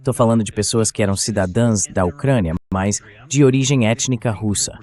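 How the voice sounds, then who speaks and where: A man's voice: on the low side, a little fast, a little mellow. A man with a Brazilian accent speaking as an interview guest in a translated voice-over, explaining in a conversational way. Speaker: interview guest giving political commentary.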